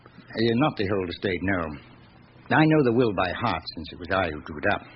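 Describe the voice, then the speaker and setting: An urbane, genial man in his late seventies reminisces in a worldly, discursive way with reflective pauses. His voice rises and falls in lively swoops. The radio drama recording is dull, with faint hiss. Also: deep voice. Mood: reminiscing, genial. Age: older. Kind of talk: classic radio drama acting.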